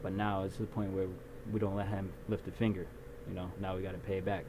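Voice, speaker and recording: A young man being interviewed about his video production company, talking in a relaxed, rambling way with lots of ums. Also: deep voice, fast talker. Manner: relaxed storyteller, many ums and restarts. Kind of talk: casual interview. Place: small recording studio. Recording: noisy background.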